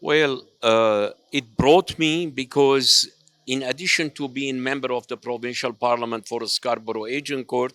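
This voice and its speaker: A man's voice: mid-range voice, measured pace, fairly bright tone, clean tone, dry recording. A man, a provincial legislator, answering formally in a speech-like way. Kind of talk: event interview answer.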